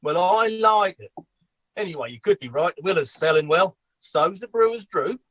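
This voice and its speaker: A man's voice: sits high, expressive, normal speed, fairly bright tone, no echo, low-quality recording. A man playing a proud, slightly defensive pub brewer in a radio comedy.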